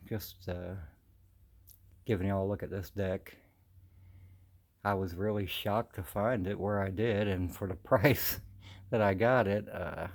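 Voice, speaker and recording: An American man with a fairly low voice talks in a casual, folksy way, as if showing something off for a home video. His words come haltingly, with slightly slurred, imprecise consonants from missing teeth. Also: gravelly. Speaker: vintage audio collector presenting his channel. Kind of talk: casual unscripted video chat.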